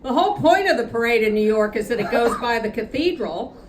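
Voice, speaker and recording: A woman's voice: on the high side, expressive, a little fast, noisy background, close to an everyday voice. A woman reading aloud, voicing a blunt woman friend who fumes while delivering bad news. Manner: blunt, fuming. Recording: echoey room from a distance.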